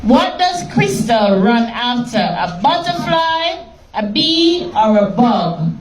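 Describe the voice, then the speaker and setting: A woman's voice: on the high side, sing-song. A woman teacher reading a story aloud to a class and patiently quizzing her pupils in a classroom. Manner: slow, patient questioning of pupils. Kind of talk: classroom lesson and read-aloud. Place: classroom full of children. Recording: echoey room, mic far off, class chatter.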